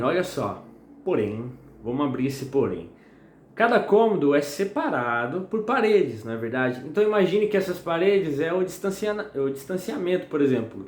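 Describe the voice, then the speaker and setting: A young man from the São gives a casual sermon recorded at home at night. He is slightly hoarse and talks in a chatty, friendly way, often asking "tá?". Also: a little high, a little mellow. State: slightly hoarse and sweating in the heat. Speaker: preacher. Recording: echoey room, faint noise.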